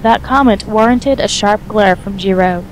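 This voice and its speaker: A woman's voice: mid-range voice, normal speed, slight background noise, rough recording. A woman reading scripted third-person story narration as the narrator of an audio drama.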